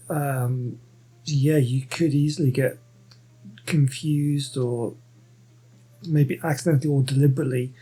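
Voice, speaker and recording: A woman's voice: a bass voice, mellow, slightly rough, low-quality recording. A woman giving casual game commentary, thinking aloud in a hesitant, conversational way. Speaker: gamer playing and commenting.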